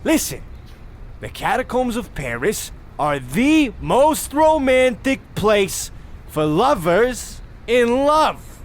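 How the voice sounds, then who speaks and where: A man's voice: extremely high pitch, big pitch swings, slow, exaggerated voice. An actor playing a pompous, oblivious husband in a comedic radio play, blustering theatrically at his wife. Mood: insistent, grandiose. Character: pompous, oblivious, bickering American husband on holiday.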